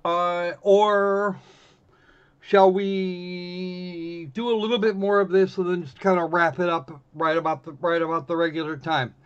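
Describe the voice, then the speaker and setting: A man with a high-pitched voice chats casually as a livestream host. His delivery is wry and snarky, with halting phrasing. The sound is dull and lo-fi. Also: little pitch movement, smooth. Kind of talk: casual game stream chat.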